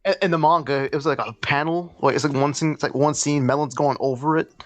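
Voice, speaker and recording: A man's voice: on the high side, fairly even intonation, fast, a crowd in the background. A man with an American accent chatting casually as a livestream guest, informal and often repeating his phrases. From Washington, D.C., United States. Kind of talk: casual chat.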